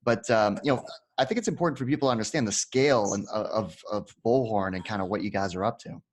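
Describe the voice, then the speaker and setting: A middle-aged man speaks in a moderately low voice as an interviewer. He sets up his questions conversationally, in the plain talk of a business interview. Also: fast.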